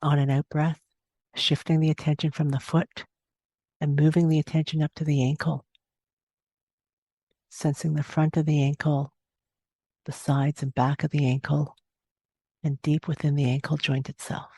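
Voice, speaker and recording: A woman guiding a meditation as a teacher, giving gentle, unhurried instructions with long pauses between phrases. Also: low voice, level tone, breathy edge, no echo. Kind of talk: guided body scan meditation narration.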